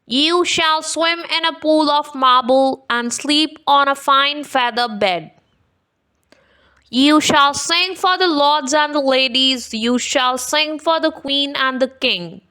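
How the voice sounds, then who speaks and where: A woman's voice: high voice, bright, forceful tone, heavily acted. A woman voicing a sly old male circus owner, grand and coaxing, in a picture book.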